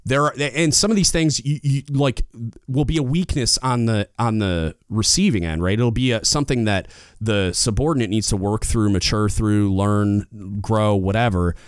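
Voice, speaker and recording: A man with a loud, booming voice giving casual leadership advice as a podcast host, getting louder when fired up. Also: moderate pace, clear, resonant tone, dry recording, studio quality. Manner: gets louder when fired up, rambling restarts.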